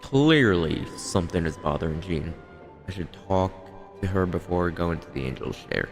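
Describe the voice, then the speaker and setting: An actor playing an observant traveler hero in a fantasy game, terse and gravelly, with reverb and music. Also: male voice, sits low, big pitch swings, moderate pace. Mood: observant, brooding. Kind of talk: fantasy video game acting. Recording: long reverb, music behind.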